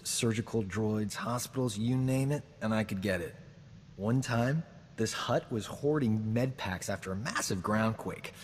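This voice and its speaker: A glib, self-satisfied rogue doctor, played by a man in a sci-fi video game, his voice sitting a little low. He brags with easy charm. A long reverb tail and faint ambience trail behind him. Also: a little hiss or hum, lightly acted. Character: smooth-talking roguish male doctor, boastful charmer. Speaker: companion character.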